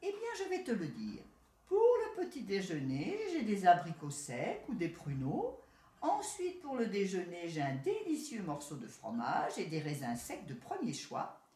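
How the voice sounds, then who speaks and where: A woman's voice: on the low side, huge pitch swings, unhurried, warm tone. A woman voicing a haughty, boastful male city rat in a storybook tale, talking down to his cousin. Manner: proud, boastful, condescending. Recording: roomy echo.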